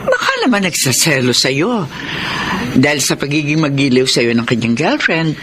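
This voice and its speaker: A caring, cautious Filipino parent in a radio drama, giving gentle counsel. The voice is fairly high and very animated, with a crowd heard behind. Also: male voice, normal speed. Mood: concerned. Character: caring, cautious parent advising a grown child. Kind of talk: radio drama acting in Tagalog.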